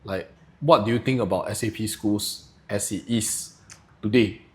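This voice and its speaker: A man's voice: on the low side, moderate pace. A young man with a Singaporean English accent co-hosting a podcast, talking casually and thinking aloud with lots of fillers. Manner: relaxed, thinks aloud with frequent fillers and "lah". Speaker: podcast co-host. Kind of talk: casual discussion.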